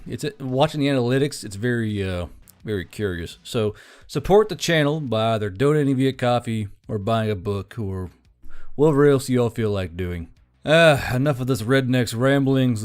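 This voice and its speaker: A man's voice: mid-range voice, darkish tone. A man giving a casual, rambling channel introduction as host, folksy and freely swearing.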